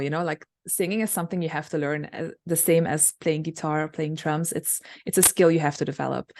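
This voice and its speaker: A young woman singer with a low alto voice, chatting casually over a video call about her music with many fillers. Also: a little mellow. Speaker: singer and songwriter, interview guest. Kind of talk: casual interview answers.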